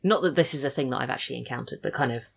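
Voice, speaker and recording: A woman's voice: a bass voice, very fast, gravelly. A woman chatting casually as a podcast guest, thoughtful and hesitant, often trailing off. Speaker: podcast guest, audio drama writer. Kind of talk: casual interview chat.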